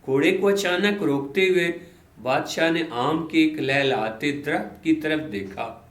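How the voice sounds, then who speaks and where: A man narrating a scripted moral story in an expressive, dramatic way. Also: fairly high pitch. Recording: roomy echo on the voice.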